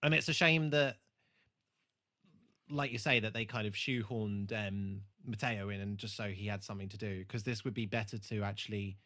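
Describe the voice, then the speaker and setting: A man co-hosting a casual TV rewatch podcast, halting as he thinks aloud, with some swearing. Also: lowish voice, sharp tone. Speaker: podcast co-host.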